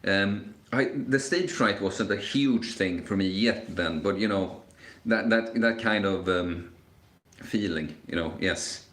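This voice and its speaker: A young man in his late twenties chats loosely through a live Q&A, stumbling over restarts and filling pauses with "um" and "like". His voice sits a little low for a man and rings around a roomy, echoey space. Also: fairly bright tone. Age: 20s. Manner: rambling, hesitant, many fillers and restarts. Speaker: live stream host. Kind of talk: casual live Q&A chat. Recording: echoey room.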